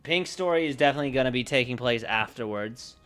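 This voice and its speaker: A man livestreaming casually, thinking aloud in a halting, unscripted way as he works through ideas. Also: medium pitch, fairly bright tone, faint music behind. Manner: halting, pausing to think and correct himself. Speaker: livestreamer brainstorming a roleplaying campaign.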